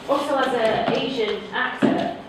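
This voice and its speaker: A woman's voice: on the high side, unhurried. A woman with a Manchester accent, talking informally as an actor on a panel. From Manchester, England. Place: indoor event room at a film festival panel. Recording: echoey room from far off, noisy.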